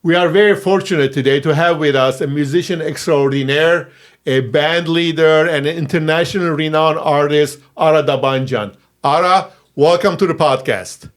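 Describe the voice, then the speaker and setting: A man hosting a podcast, giving a polished intro and then asking questions in a halting way. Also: a little high, faint music behind. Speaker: podcast host. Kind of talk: podcast interview. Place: podcast studio.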